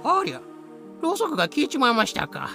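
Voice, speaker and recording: A man playing a rough, lively old-hand pirate telling tales with slangy, dramatic delivery in a fan-made voice drama. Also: extremely high pitch, fast talker, over music, full character voice. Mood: casual, curious. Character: rough lame ex-pirate storyteller, boisterous, lively.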